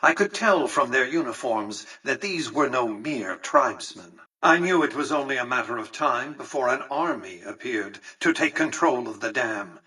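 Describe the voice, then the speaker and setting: An actor playing an imperious, calculating old tycoon in a video game, speaking formally and precisely with pompous condescension. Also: male voice, mid-range voice, lively intonation, moderate pace, hardly any pauses, sharp tone, husky, recorded from a distance, poor audio. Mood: calculating, proud. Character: imperious, calculating, centuries-old male tycoon ruling a futuristic Vegas. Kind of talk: scripted video game character dialogue.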